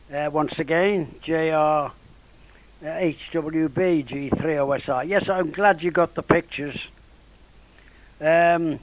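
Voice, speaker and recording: Elderly man, slow, higher-pitched, halting. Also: poor audio. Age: 80s or older. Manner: hesitant, halting. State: voice going from a cold. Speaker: amateur radio operator. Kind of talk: casual ham radio contact. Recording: ham radio contact over internet app.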